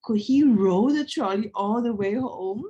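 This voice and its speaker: A woman reading a children's picture book aloud in an expressive way, as if to young listeners. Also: fairly high pitch, unhurried, slightly rough, lo-fi sound. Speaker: read-aloud storyteller.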